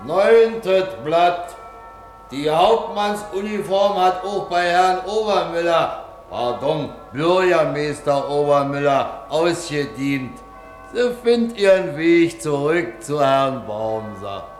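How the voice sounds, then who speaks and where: Radio play: wry announcer, slightly high voice, unhurried, echoey with faint music. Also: male voice, lively intonation. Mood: measured, wry. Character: measured male scene announcer with dry irony. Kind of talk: scripted scene narration, 1950s radio.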